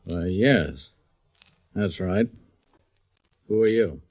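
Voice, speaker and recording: Wary airport owner, curt and guarded, old radio drama. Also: male voice, low-pitched, very slow, darkish tone, no room sound, muffled recording, heavily acted. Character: gruff male airport owner, suspicious. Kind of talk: 1940s radio acting. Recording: old radio broadcast recording.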